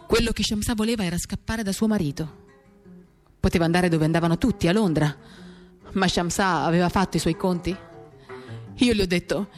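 A woman reading a literary monologue for radio theatre in an intimate, dramatic, confiding way, in short phrases. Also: fairly low pitch. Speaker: reader of a novel for radio theatre. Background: acoustic guitar music.

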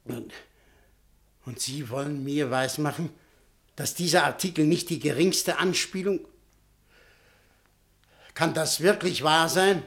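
A man's voice: on the high side, slow, pauses often, a bit bright. An actor playing a domineering, suspicious and impatient businessman with a menacing edge in a German radio play. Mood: indignant, incredulous. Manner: curt, commanding, indignant.

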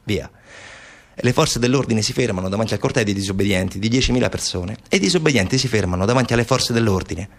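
Fast-talking man, theatrical storyteller. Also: lowish voice, animated. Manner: urgent, rapid-fire delivery with rhetorical repetition and lists. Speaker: stage actor and narrator. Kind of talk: dramatic monologue, theatrical storytelling for radio.

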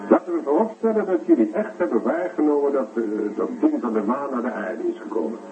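A man's voice: medium pitch, lively intonation, quick pace, a little hiss or hum, natural voice. A man acting a skeptical, reassuring male doctor in a science fiction radio drama. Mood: skeptical, emphatic. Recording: tinny, slightly distant old radio recording.

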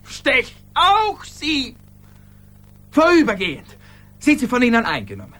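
A man's voice: very high voice, huge pitch swings, a little slow, bright, forceful tone, heavily acted. An actor in a radio drama playing an anxious, passionate ordinary man with an agitated, insistent, pleading manner. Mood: agitated, indignant.

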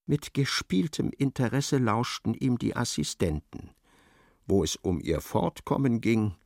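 A man narrating a literary radio play in a measured, dryly ironic way. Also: medium pitch, gravelly, no room sound, clean studio sound, exaggerated voice.

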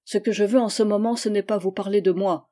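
A woman reading the part of an earnest young man, speaking with steady, measured persuasion in her own voice. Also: mid-range voice, close to an everyday voice. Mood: firm. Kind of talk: audiobook dialogue reading.

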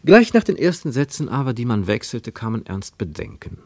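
A man narrating a literary radio play in a reflective, rhetorical manner with deliberate emphasis. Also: medium pitch, sing-song, darkish tone, no echo, studio quality. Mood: reflective, questioning. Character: reflective literary narrator of a postwar travel story.

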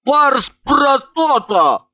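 Reader putting on a brash drinker lady in a story, solemn booming toasts, slow n forceful. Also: very animated, no room sound, highs cut off, heavily acted. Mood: grandiose. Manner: booming, declamatory, unflappable. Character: brash hard-drinking woman solemnly spouting Latin toasts.

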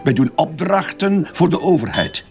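A man's voice: fairly high pitch, expressive, moderate pace, creaky, faint music behind. A man with a Flemish accent playing a defensive advertising boss under questioning in a crime drama, hesitant and evasive, often backtracking. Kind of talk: radio crime drama dialogue.

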